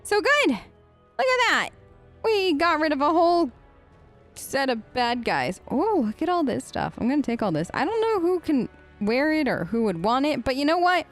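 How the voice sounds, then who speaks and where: A woman's voice: sits high, sing-song, moderate pace, faint music behind. A chatty woman doing role-play let's play commentary, answering game characters as if she were one of them. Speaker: gaming host, player.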